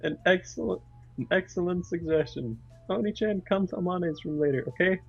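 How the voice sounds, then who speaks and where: A man's voice: high-pitched, moderate pace, creaky, faint music behind. A spacey little girl with her mind on snacks, read by a man in a slightly raised voice. She drifts and rambles in oddly quaint phrases. The mic sounds dull and lo-fi. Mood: airy. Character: spacey food-obsessed young girl. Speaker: let's play voice reader.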